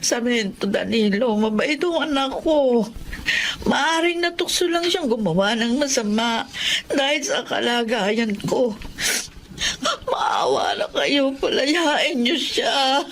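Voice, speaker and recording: High-voiced, distraught Filipino mother. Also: expressive, takes it slowly, slight background noise, full character voice. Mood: tearful pleading. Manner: plaintive, pleading. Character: sickly widowed mother, distraught and pleading for son. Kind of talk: Filipino radio drama acting.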